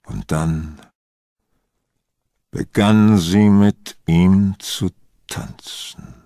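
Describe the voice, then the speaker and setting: A man's voice: sits low, extremely slow, dark tone, dry recording, clean studio sound. A man giving scripted narration of a horror story.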